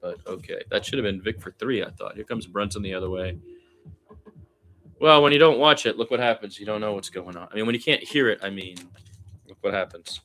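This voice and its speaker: A man's voice: lowish voice, fairly quick, a bit bright. A man giving loose, conversational play-by-play basketball commentary in an informal, slangy way, as if thinking aloud. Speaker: amateur radio-style play-by-play commentator.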